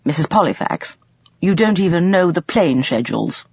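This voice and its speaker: A woman's voice: fairly low pitch, sing-song, normal speed, a little mellow, breathy edge, no echo, dull audio, exaggerated voice. A woman voicing an indignant, peevish young nephew in an audiobook novel.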